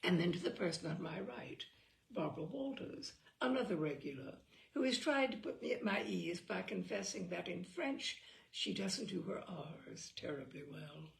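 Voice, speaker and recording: A woman host reading a humorous essay aloud in a wry, lively storytelling manner. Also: lowish voice, normal speed, vocal fry. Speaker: host and reader. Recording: echoey room.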